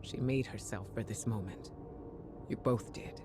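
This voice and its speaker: A man's voice: on the low side, unhurried, close to an everyday voice. A man voicing a grave, steadfast ally who gives a solemn, earnest mission briefing in a video game. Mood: earnest resolve. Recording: studio voice under prominent music.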